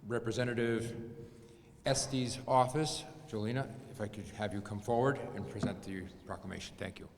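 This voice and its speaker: A man with a fairly low voice introduces speakers at a ceremony, relaxed and trailing off quietly at times. A long hall reverb and faint noise surround him. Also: moderate pace, creaky. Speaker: event emcee.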